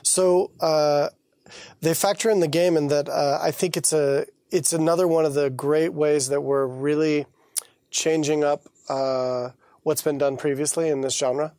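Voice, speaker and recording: A man's voice: on the high side, mellow, dry recording, clean studio sound. A man, a game developer guest, talking casually and rambling with lots of fillers and restarts. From United States. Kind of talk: casual chat.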